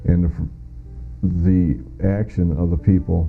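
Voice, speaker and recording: A man's voice: low-pitched, fairly even intonation, takes it slowly, vocal fry, faint music behind. A middle-aged American man giving first-hand testimony in an interview, plain-spoken and matter-of-fact. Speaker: former air traffic controller giving witness testimony. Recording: muffled lo-fi audio.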